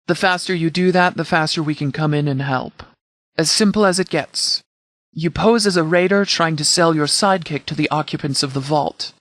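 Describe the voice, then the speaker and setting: A stern sheriff of a post-apocalyptic militia, played by a male actor in scripted game dialogue. He speaks formally and with measured authority, his voice pitched a little high for a man. The sound is dry and close, with little room around it. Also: fairly bright tone, dry recording. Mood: briskly instructing. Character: stern, commanding sheriff leading a wasteland militia.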